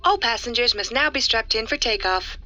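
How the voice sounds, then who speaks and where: A woman's voice: on the high side, animated, fairly quick, lightly acted. A woman playing a polite android flight attendant, making formal, courteous passenger announcements in a sci-fi audio drama. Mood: polite, official.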